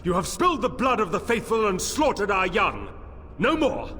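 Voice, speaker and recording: An actor playing a fanatical, commanding cult leader who declaims dramatically in a fantasy game. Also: male voice, high voice, wildly swooping pitch, moderate pace, pushed and bright, heavily acted. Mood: outraged. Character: fanatical male cult leader defending his temple. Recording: echoing hall, background noise.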